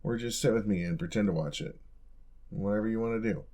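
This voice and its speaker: A man's voice: fairly low pitch, fast, a little mellow, lightly acted. A young man playing a caring, teasing college roommate in an intimate, casual audio roleplay.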